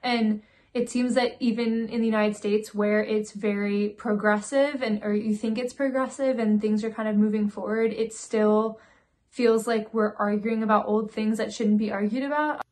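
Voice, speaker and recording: A woman's voice: fairly high pitch, steady tone, normal speed, darkish tone, slight background noise. A young woman university student who grew up in Egypt, speaking casually and thoughtfully in an interview.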